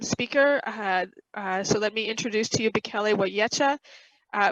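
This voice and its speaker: A middle-aged woman moderating an online session over a headset, reading a formal introduction in a halting, measured way. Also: a little high, fairly bright tone, dry recording, dull audio. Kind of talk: formal introduction read from notes. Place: room at home with bookshelves, video call. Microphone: headset microphone.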